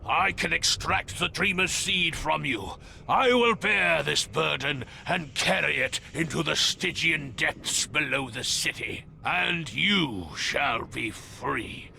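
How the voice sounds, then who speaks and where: An actor playing a haughty, zealous male cultist in a video game, speaking formally and theatrically, often sinking into a breathy murmur. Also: a little high, expressive, takes it slowly, few pauses, sharp tone, slight background noise, heavily acted. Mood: fervent. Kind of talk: dramatic video game cutscene acting.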